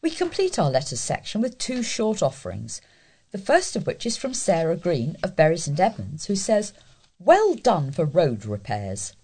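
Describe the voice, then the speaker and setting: A woman reading local news and letters aloud as a volunteer, in a deliberate, scripted delivery. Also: on the low side, huge pitch swings, mellow, slightly rough. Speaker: volunteer newspaper reader.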